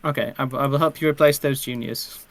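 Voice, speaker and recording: A man's voice: medium pitch, fairly even intonation, a little fast, no echo. A man chatting casually on a livestream, hesitant and often stumbling back over his words. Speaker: podcast writer-performer on a livestream. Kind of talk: casual collaborative chat.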